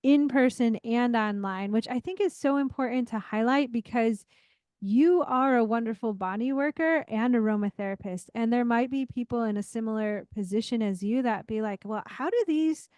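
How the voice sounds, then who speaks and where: Woman host, encouraging, higher voice, dry room. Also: moderate pace, darkish tone, smooth, dry recording. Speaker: podcast host, business coach. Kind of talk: interview hosting.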